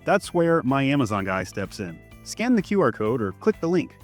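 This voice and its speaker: Brief: male promo read, animated pitch, light music bed. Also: normal speed. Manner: upbeat sales pitch. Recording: voice under background music.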